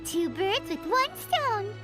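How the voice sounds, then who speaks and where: A tiny, eager fairy companion from a video game, extremely high and childlike. The delivery is bratty and cute, swinging widely in pitch over loud game music. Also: female voice, big pitch swings, takes it slowly, heavily acted. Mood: smug. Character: Paimon, small childlike female fairy companion, cheeky, eager.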